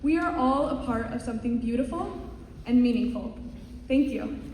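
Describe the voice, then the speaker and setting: A teenage girl giving a sincere, slow, prepared speech as a student candidate at a school assembly. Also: fairly high pitch, very noisy. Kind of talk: prepared speech read aloud. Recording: echoey hall with audience.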